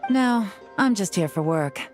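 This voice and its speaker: A woman's voice: medium pitch, expressive, measured pace, darkish tone, faint music behind, natural voice. A woman playing a poised, enigmatic female operative in a video game, composed and assured. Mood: cool, assured.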